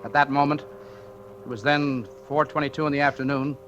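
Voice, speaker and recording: An actor playing a methodical, portentous narrator reciting an accident report in a 1940s radio drama. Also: male voice, medium pitch, flat delivery, a little fast, over loud music, natural voice. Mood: grave. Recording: dull old radio broadcast recording.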